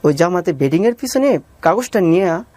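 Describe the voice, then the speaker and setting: A man's voice: high voice, animated, normal speed, dark tone, dry recording. Bangladeshi man earnestly recounting his life story. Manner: deferential, calls the interviewer sir. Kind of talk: personal storytelling interview with reenacted dialogue.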